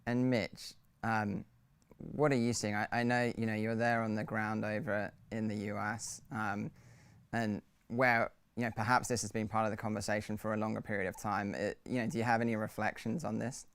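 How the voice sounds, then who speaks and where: A man moderating a panel discussion, measured and hedging with fillers. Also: lowish voice, level tone, fairly bright tone, clear, resonant tone, dry recording.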